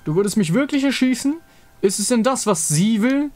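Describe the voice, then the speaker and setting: A man's voice: extremely high pitch, expressive, moderate pace, a little mellow, pitched above the performer's own voice. A man voicing an undead lovesick king from a fantasy game, grandiose, menacing and theatrical. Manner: pompous, theatrical.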